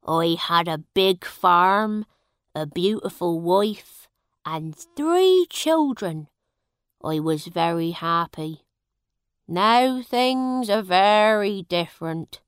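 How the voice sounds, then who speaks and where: A woman voicing a poor male farmer who slowly tells the sad story of his lost family. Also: on the low side, clear, resonant tone, no echo, studio quality. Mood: sorrowful. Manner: slow, heavy storytelling.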